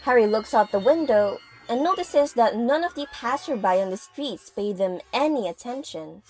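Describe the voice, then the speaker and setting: A woman's voice: fairly high pitch, animated, moderate pace, a little mellow, poor audio. A woman giving vivid, dramatic scripted narration for an audio drama. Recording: music under the voice.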